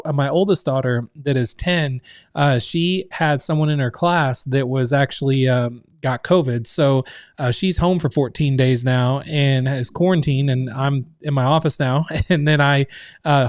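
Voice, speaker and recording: A man's voice: mid-range voice, darkish tone, dry recording, clean studio sound. A man in his thirties, a community founder, hosting a casual livestream from his home office, talking in a chatty way with many fillers while his voice sounds strained, as if about to give out. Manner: chatty, many fillers, reads out chat comments. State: voice strained, close to losing it.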